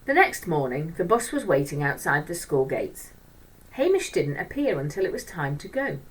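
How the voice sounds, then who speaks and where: A woman storyteller reading a children's book aloud in an expressive narrating style. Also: low-pitched, moderate pace, slightly rough, distant mic, low-quality recording.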